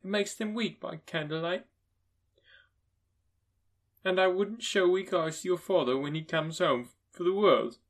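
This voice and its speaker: Male narrator, lifted soft voice for a gentle grieving mother in a storybook. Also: high-pitched, a little slow, long pauses, voice pushed up. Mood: tender. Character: gentle anxious mother, worn but steady.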